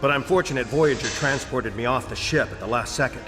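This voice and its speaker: An actor playing a brave, selfless starship captain in scripted video game dialogue. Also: male voice, mid-range voice, fairly quick, lightly acted. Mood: sober, resolute. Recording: music behind.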